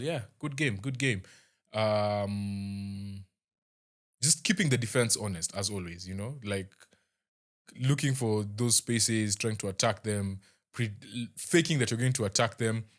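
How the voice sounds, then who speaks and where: Chatty young Kenyan man. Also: on the low side. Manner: chatty, halting, with many fillers and restarts. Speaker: rugby show host. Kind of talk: casual match review.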